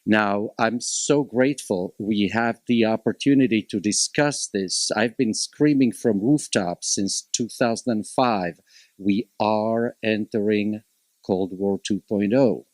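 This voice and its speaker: A man in his fifties from Slovakia with a Slovak accent, speaking as a panelist at a public policy forum, measured and emphatic with frequent hesitations. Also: lowish voice, a little mellow, no echo. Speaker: panelist from a local college.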